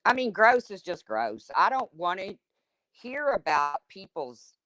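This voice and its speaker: A woman with a deep, husky smoker's voice hosting a livestream, reading chat comments and answering them in a blunt, chatty way. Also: very animated, measured pace, a bit bright, no echo. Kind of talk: casual chat with viewers.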